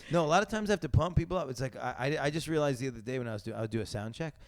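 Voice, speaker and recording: A young man hosts a casual podcast chat. He talks fast, loud and eager, tumbling over his words and starting sentences again. His pitch sits in an everyday middle range. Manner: loud, eager, rambling with restarts. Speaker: podcast host.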